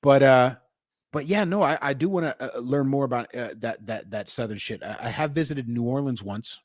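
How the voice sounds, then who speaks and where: A man's voice: mid-range voice, moderate pace, no room sound, studio quality. A man from New York hosting a podcast, talking in a blunt, profane, rambling casual way. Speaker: podcast host. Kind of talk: casual chat.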